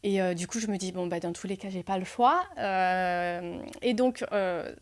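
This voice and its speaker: A young woman co-hosting a real estate podcast, casually telling a lively anecdote with frequent fillers and laughs. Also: on the low side, moderate pace. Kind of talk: casual storytelling chat.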